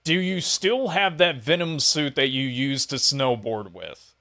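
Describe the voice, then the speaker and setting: Guy interviewer on a voice call, bright voice, chatty and kinda rambly, podcast style. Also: mid-range voice, measured pace. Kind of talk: casual conversational interview. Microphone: voice call on Discord.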